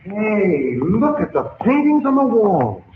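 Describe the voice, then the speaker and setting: A male actor playing an eager, curious boy in an old-time radio adventure drama, excitable and full of questions. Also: high voice, takes it slowly, exaggerated voice. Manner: excitable, inquisitive. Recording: echoey, noisy, dull old radio recording.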